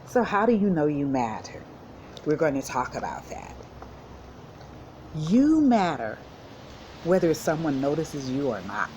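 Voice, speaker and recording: Low-voiced woman counselor teaching young children. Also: very animated, darkish tone, slight background noise. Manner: simple, encouraging address to young children, with deliberate emphasis. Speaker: school counselor reading to children. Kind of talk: storybook read-aloud and lesson talk for children.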